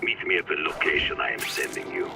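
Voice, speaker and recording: An actor playing a calm, proud male big-game hunter in a video game, speaking slowly and solemnly. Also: extremely low pitch, creaky, music in the background, full character voice. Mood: cold. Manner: slow, measured, solemn. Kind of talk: video game cutscene acting.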